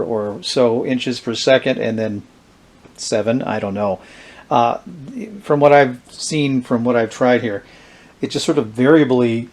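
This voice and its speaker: A man giving a casual, unhurried product demonstration, haltingly thinking aloud as he presents vintage electronics. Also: mid-range voice. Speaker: vintage electronics collector presenting his gear.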